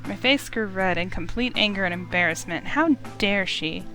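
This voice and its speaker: A woman reading a visual novel aloud as expressive first-person narration. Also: mid-range voice, normal speed, soft background music, rough recording.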